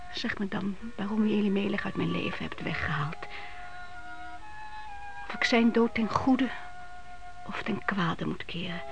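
A woman's voice: mid-range voice, highs cut off, close to an everyday voice. Woman acting devout widowed mother, stubborn and anguished, solemn imploring delivery, radio play, music underneath.

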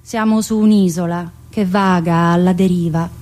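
A woman's voice: on the low side, steady tone, normal speed, a little mellow. A woman, an actress, reciting a theatrical monologue, in short clipped fragments. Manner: clipped, listing sensory fragments.

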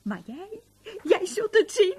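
An excitable, credulous housewife in a radio drama. Her voice is high and swings freely as she chatters and stammers with eagerness. There is noticeable noise on the recording. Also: slow, heavily acted. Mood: excited, insistent. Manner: animated, stammering when excited. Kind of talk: Dutch radio play acting.

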